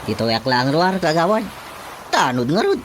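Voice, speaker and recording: Gossipy Ilocano village watchman, highish voice. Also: big pitch swings, normal speed, fairly bright tone, a little hiss or hum. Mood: earnest, gossipy. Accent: Filipino, Ilocano. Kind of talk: comedic radio drama acting.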